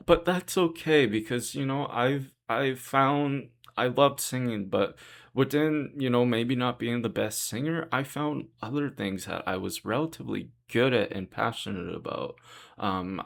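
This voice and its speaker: A young man with a deep voice talking earnestly and reassuringly in a rambling vlog monologue. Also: gravelly. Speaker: vlogger. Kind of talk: casual monologue to camera.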